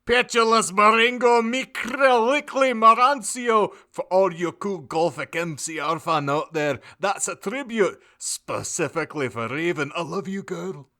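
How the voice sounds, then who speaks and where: A man voicing an earnest, hot-tempered goth groundskeeper in an audiobook, declaiming in drawn-out, overwrought phrases. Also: high voice, bright, forceful tone, pitched above the performer's own voice, heavily acted. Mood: loud, indignant.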